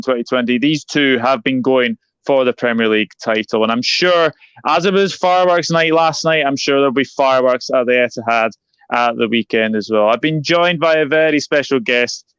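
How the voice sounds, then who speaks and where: A man's voice: a little high, a bit bright, smooth, dry recording. A man hosting a football preview interview, speaking politely and often repeating his phrases. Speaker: football channel host. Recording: slightly dull, lo-fi audio.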